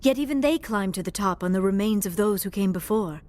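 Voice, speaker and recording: A woman's voice: medium pitch, lively intonation, darkish tone, natural voice. Earnest, scholarly young alien woman voiced by an actress. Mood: intent, scholarly. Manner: formal, precise, measured, earnest. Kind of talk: scripted video game dialogue.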